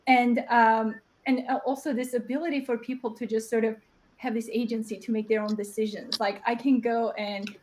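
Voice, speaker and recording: A young woman with a high voice, answering as a panelist on a video call, earnest and thinking aloud, often tagging her points with "right?". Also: level tone, normal speed, darkish tone, a little hiss or hum. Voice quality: high-pitched voice. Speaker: panelist, platform co-founder. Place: home room. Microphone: webcam on video call.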